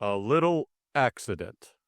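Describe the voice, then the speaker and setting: A man's voice: mid-range voice, sing-song, very slow, fairly bright tone, no echo, studio quality, exaggerated voice. A man voicing a pompous, easily outraged official in an audiobook, blustering and stammering. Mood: flustered authority. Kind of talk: audiobook character acting.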